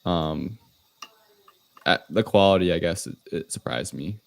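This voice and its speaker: A man's voice: deep voice, a little slow. A man co-hosting a movie review podcast in casual, conversational panel chat.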